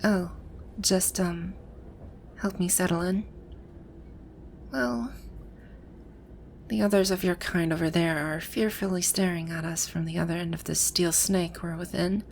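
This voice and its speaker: A woman's voice: lowish voice, fairly even intonation, unhurried, vocal fry, long pauses, darkish tone, a little hiss or hum. A woman acting a haughty, bewildered video-game villainess in an ASMR roleplay, with pompous, commanding, old-fashioned phrasing. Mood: wary, curious.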